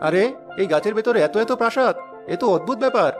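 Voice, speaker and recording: A woman's voice: medium pitch, expressive, quick pace, lightly acted. A woman voicing a sad, humble young village boy in a children's fairy-tale cartoon, earnest and pleading. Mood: curious. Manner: plaintive, pleading. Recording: music under the voice.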